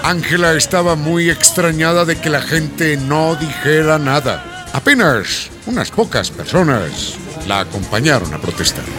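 A man's voice: a little high, big pitch swings, measured pace. A man reading scripted narration for a radio drama in a storytelling role. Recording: music behind narration.

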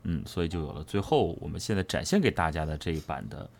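A man's voice: a bass voice, sing-song, fast talker, vocal fry, a little hiss or hum. A man, a voice actor, giving a casual, explanatory interview answer and repeating phrases for emphasis. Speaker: voice actor interviewed about his character.

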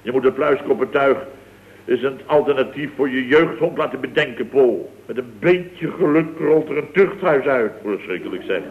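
A man plays an exasperated Dutch boss in an old radio comedy, blustering and exclaiming, forever tagging questions onto his tales. His voice sits at a middling pitch, and the recording is slightly dull. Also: moderate pace, close to an everyday voice. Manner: exclamatory, anecdotal, full of tag questions. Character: blustering, exasperated male boss of a building firm. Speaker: company director in a radio comedy serial. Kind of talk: late 1960s radio comedy acting.

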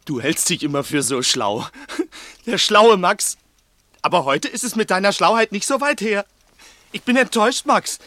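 A man's voice: high-pitched, lively intonation, normal speed, pushed and bright, no echo. A male actor playing a nervous, resentful small-time crook in an agitated, insistent way in a 1960s German radio play. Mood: taunting, agitated. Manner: agitated, insistent, repeating himself.

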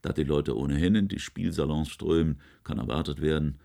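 Gloomy gravelly-voiced cultured official. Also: male voice, a bass voice, fairly even intonation, a little fast, warm tone. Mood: gloomy, earnest. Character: gloomy, cultured male library official foreseeing decline. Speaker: radio drama actor. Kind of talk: German science-fiction radio play acting.

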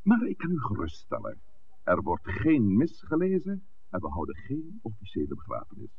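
An erudite, wry village priest, with a very low voice that moves expressively up and down. He lectures in measured, articulate phrases, on a muffled old radio drama recording. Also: huge pitch swings, gravelly, a little hiss or hum, heavily acted. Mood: grave, reassuring. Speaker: actor in a radio play. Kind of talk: 1960s Dutch radio drama acting.

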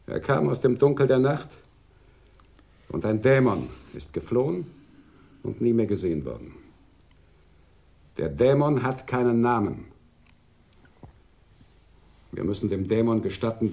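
A commanding crime boss with a creaky, gravelly voice. His formal, ceremonious speech swings widely in pitch, in the manner of 1980s radio drama. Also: lively intonation, a little slow, long pauses, warm tone. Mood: solemn, veiled.

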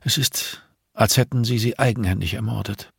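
A man voicing a calm, grieving older nun in an audiobook, measured, solemn and quietly reproachful. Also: lowish voice, gravelly, breathy edge, no room sound, studio quality, pitched above the performer's own voice, heavily acted. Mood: quietly accusing.

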